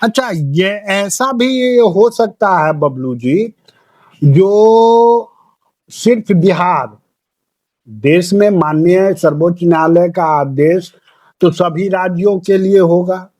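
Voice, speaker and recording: A man putting questions in a phone discussion, with deliberate, formal phrasing. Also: high voice, a little mellow, dry recording. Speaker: interviewer. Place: recorded audio call.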